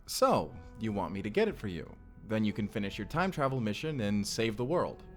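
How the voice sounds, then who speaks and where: A man's voice: on the low side, big pitch swings, fairly quick, faint music behind. Man playing a hesitant, bewildered young sci-fi recruit. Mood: overwhelmed, questioning. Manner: hesitant, informal, earnest. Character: bewildered, apologetic, devoted male reluctant time-travel recruit. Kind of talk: cinematic audio roleplay acting.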